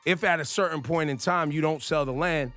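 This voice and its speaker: A man's voice: a little high, fairly quick, fairly bright tone, faint music behind. A man co-hosting a radio talk show, making earnest points and challenging the others with questions. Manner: earnest, argues by posing questions. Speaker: radio co-host.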